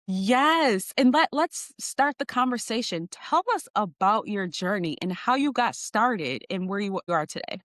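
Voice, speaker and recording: A woman hosting an interview podcast, her delivery polished and measured as she leans into each item of a list. Her voice sits at an ordinary pitch for a woman. The recording is close and dry, with no room sound. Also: expressive, a bit bright, no room sound. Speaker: podcast host. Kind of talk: scripted guest introduction and interview questions.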